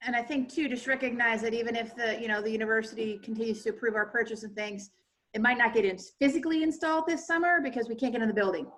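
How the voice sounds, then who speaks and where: Woman, quick pace, slightly high voice, informal and conversational, online college meeting. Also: fairly bright tone, rough recording. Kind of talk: casual meeting explanation. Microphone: video call.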